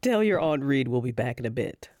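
A woman's voice: rumbling low, expressive, brisk, darkish tone, no echo, clean studio sound. A woman playing a smug, mysterious wife and mother with a coy, teasing manner in an audio drama. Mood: playful, smug.

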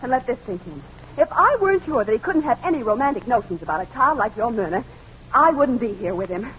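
An actress playing a spirited, quick-tongued newsman's sidekick, tart and dramatic, in a 1940s radio crime drama. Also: on the high side, sing-song, a bit bright. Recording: dull, noisy old radio recording.

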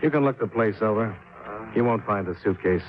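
An actor playing a tough, level-headed insurance investigator in a 1950s radio drama, dry and matter-of-fact. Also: male voice, on the low side, fairly quick, close to an everyday voice. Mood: cool, dry. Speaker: lead actor and narrator of a radio detective series. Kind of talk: 1950s radio drama acting, clipped first-person narration. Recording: dull 1950s radio recording, music behind.

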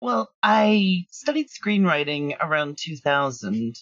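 A woman's voice: sits low, very animated, takes it slowly, no echo, lo-fi sound. A woman talking casually as an interview guest, recorded remotely from home. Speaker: audio drama writer and producer, interview guest.

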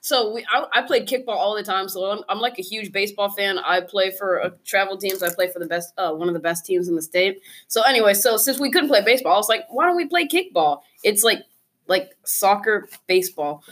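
Young woman, mid-pitched, rambling storyteller. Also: expressive, brisk, fairly bright tone. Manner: rambling, repetitive. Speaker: podcast co-host. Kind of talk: casual storytelling.

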